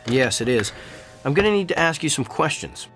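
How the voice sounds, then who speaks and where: An actor playing a cold, clinical doctor who fires off clipped questions in a radio drama. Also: male voice, fairly high pitch, very animated, fairly bright tone, soft background music. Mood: curt. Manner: clipped, rapid-fire questioning.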